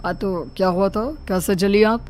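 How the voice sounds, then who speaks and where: A woman voicing a police officer in a cartoon story, gruff and questioning. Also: mid-range voice, a little slow, darkish tone, slight background noise, natural voice.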